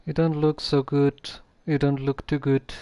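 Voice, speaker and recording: A man's voice: a little high, flat delivery, a little slow, dark tone, clear, resonant tone, dry recording. A man streaming a game with casual, hesitant commentary, reading dialogue choices aloud.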